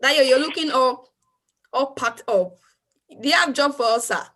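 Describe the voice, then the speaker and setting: A woman's voice: sits high, normal speed, a bit bright. A woman hosting an online event on a video call, chatty and playful as she introduces the next session. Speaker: event host. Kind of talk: casual moderating.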